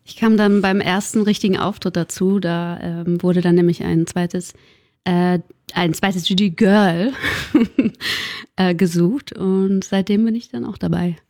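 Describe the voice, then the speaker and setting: A woman singer from Cologne, a guest in a radio interview, speaking softly and hesitantly. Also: on the low side, fairly even intonation, a little slow, dry recording, studio quality. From Germany. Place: radio studio.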